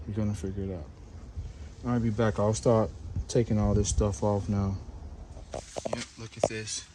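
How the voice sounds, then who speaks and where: A man hosting a casual hands-on car repair vlog, with a relaxed, chatty way of talking straight to his viewers. He is sniffly from the cold, with a runny nose, and speaks outdoors beside the car. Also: fairly low pitch, measured pace, background noise. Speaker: DIY mechanic and channel host.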